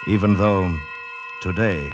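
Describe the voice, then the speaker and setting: Old-time radio drama: grave mining engineer haunted by the mountains, low voice, measured dramatic storytelling. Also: male voice, dull audio, noisy background. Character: haunted mining engineer, former mountaineer, telling his tale.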